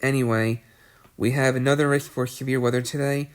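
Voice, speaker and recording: A man who has a cold and sounds congested, giving a weather briefing, often clearing his throat and restarting phrases. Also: medium pitch, level tone, brisk. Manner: reads long lists of places in a level voice. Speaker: weather video host.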